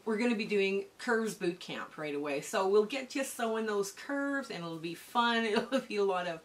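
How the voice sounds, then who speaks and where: A middle-aged woman hosting a quilting lesson, chatting warmly while she sews, her voice starting to go hoarse. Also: medium pitch. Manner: chatty, homey, talks while sewing. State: voice going hoarse. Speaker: quilting channel host. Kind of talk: casual sewing tutorial.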